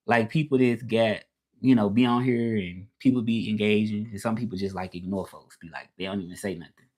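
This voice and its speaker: A man's voice: lowish voice, fairly even intonation, fairly quick, a little mellow. Southern US man, 30s, easygoing live-chat host who loops back on his points. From Southern United States. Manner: rambling, repeats himself, reads out and answers chat. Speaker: livestream host. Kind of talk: casual livestream chat with viewers.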